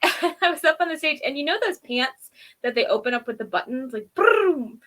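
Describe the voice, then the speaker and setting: Female review co-host, slightly high voice, informal conversational delivery with self-deprecating storytelling. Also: expressive, normal speed, fairly bright tone. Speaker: podcast co-host reviewing TV episodes. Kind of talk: casual chat.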